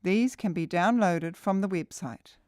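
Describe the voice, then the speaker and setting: A woman's voice: fairly low pitch, measured pace, a little mellow, no room sound, clean studio sound. A woman reading scripted instructional narration for a training video.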